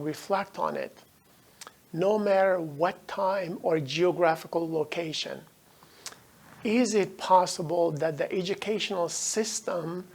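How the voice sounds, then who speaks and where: A middle-aged man from Afghanistan, a native Dari speaker, giving a measured, explanatory recorded lecture as a professor. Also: high-pitched, creaky, slightly rough.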